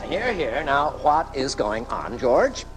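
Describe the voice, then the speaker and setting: A woman's voice: very deep voice, big pitch swings, slow pace, heavily acted, rough recording. A woman playing a stuffy, exasperated funeral director in a live radio drama, formal and flustered. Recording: home-taped radio broadcast, music behind.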